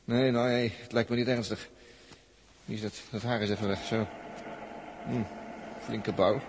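A man's voice: lowish voice, little pitch movement, normal speed, natural voice. A man with a Dutch accent acting a wry, blunt, jovial crewman in a 1960s radio drama. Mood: jovial, appraising. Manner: colloquial, muttering, wry. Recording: noisy old 1960s radio recording.

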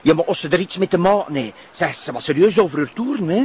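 A man's voice: fairly high pitch, lively intonation, quick pace, crowd noise, close to an everyday voice. An actor playing a grumbling, fretful policeman on a tail in a Flemish crime drama, with a Flemish accent. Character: fretful, grumbling policeman André tailing a suspect. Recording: dull sound, noisy background, clicks.

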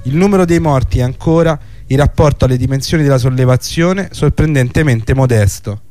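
A man's voice: medium pitch, a little fast, a little mellow. A man delivering scripted historical narration in a radio documentary. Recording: clear music bed under the voice. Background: music.

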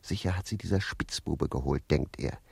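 A man's voice: rumbling low, moderate pace, creaky, husky, no echo, full character voice. An actor in a radio play as a cheeky manservant wryly and insistently recounting his youthful love escapades. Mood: wry storytelling. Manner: wry, insistent storytelling. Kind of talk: radio play narration and dialogue.

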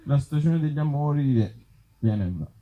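A man's voice: a little high, moderate pace, warm tone, highs cut off, a little hiss or hum. A man reading his own absurd short stories live, breaking off into conversational, self-deprecating asides to the audience. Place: live outdoor festival event before an audience.

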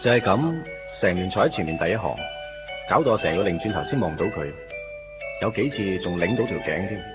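A man's voice: low-pitched, lively intonation, a little fast, recorded from a distance. An actor playing a wistful man in his late twenties pining for his first love in a radio drama, speaking Cantonese with a Hong Kong accent in a nostalgic, storytelling way. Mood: nostalgic, wry. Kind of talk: radio drama acting, first-person narration. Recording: dull lo-fi radio recording. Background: music.

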